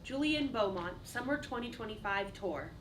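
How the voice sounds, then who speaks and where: A woman's voice: a little high, lively intonation, moderate pace. A woman reading stage directions as scripted narration for a play. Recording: distant mic, clearly noisy room.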